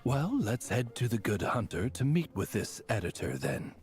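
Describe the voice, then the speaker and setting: A man's voice: medium pitch, wildly swooping pitch, moderate pace. A man voicing a dignified talking raven servant in an anime game, formal and courtly, in a deferential manner. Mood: polite. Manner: formal, courtly interpreter with German honorifics. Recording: game music behind the voice.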